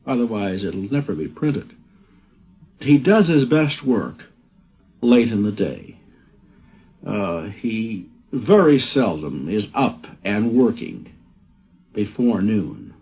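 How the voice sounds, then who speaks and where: A man reminisces in a slow, reflective way, his pitch moving widely as he goes. His voice is a little husky, heard on a muffled old radio recording. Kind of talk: unscripted spoken recollection of a friend.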